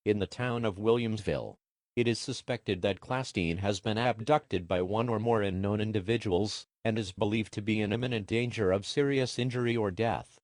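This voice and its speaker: A man voicing an impersonal emergency alert announcer in a formal, measured, official manner. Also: lowish voice, dry recording, studio quality, natural voice.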